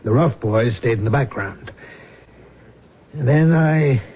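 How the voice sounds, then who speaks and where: Actor as weary confessing fugitive, tinny noisy radio. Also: male voice, medium pitch, slow, lightly acted. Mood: frank, weary. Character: weary fugitive former gambling front man confessing. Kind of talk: radio drama acting.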